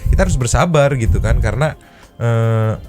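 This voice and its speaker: A man hosting a casual podcast, reacting to clips with animated, teasing commentary. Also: medium pitch, normal speed, soft background music. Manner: animated, joking, teasing asides. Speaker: podcast host.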